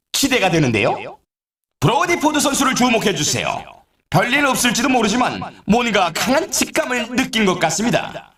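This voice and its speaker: A man voicing a lively, upbeat snowboard race announcer in a video game, giving showy, hyped sportscaster commentary. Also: high voice, reverberant. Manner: animated, showy sportscaster delivery. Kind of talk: excited scripted race commentary.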